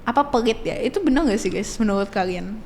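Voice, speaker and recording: A woman's voice: on the high side, animated, fast talker, a little mellow. Chatty teenage girl with faint background hiss. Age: teens. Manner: chatty, laughs often, many fillers. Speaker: podcast guest. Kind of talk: casual podcast chat.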